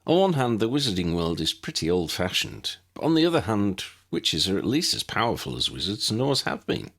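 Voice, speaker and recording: A man's voice: lowish voice, sing-song, brisk, gravelly, fairly bright tone, full character voice. A man voicing an earnest young male wizard in an audiobook, explaining things patiently. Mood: thoughtful. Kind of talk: audiobook character dialogue.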